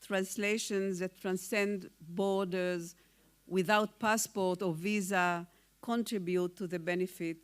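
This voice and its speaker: A woman whose first language is Hungarian, a poet giving a formal acceptance speech in a slow, measured, deliberate reading. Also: fairly low pitch, fairly even intonation, smooth. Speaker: award-winning poet.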